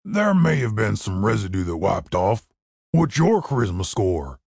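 A man voicing a crude, slow-witted, shameless male half-orc in a fantasy audiobook, with a gravelly, husky voice. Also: fairly low pitch, sing-song, a little mellow, no echo, studio quality, pitched below the performer's own voice, exaggerated voice. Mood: nonchalant.

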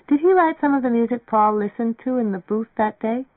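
Narrator playing a young woman, girlish raised pitch, weary, restless, murmuring, plaintive, audiobook dialogue, thin band-limited sound. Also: brisk, no room sound, phone-quality sound, natural voice. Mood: drowsy, wondering.

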